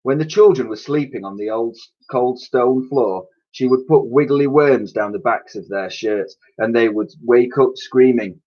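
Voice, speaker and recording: A man in his thirties reading a children's storybook aloud in an expressive, explanatory way, like a teacher to pupils. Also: medium pitch, moderate pace, few pauses. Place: small attic room with sloped ceiling, indoors. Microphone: webcam or laptop mic at arm's length. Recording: dull, lo-fi webcam sound.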